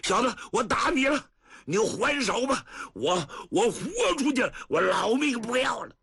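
A man's voice: very animated, a little slow, slightly rough, no echo, studio quality, heavily acted. Man playing a fiery old general. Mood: outraged. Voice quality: shouting, sputtering, high and strained. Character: hot-tempered old man, a blustering grandfatherly general.

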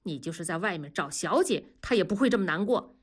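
A woman voicing a blunt, rough-talking middle-aged man, teasing and scolding his friend. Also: lowish voice, sing-song, moderate pace.

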